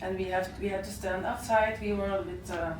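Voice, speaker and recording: A woman's voice: mid-range voice, fairly even intonation, moderate pace. A woman activist speaking at a discussion after a film, hesitant and often repeating words as she restarts. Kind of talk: casual panel discussion. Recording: echoey room, mic far off, noisy.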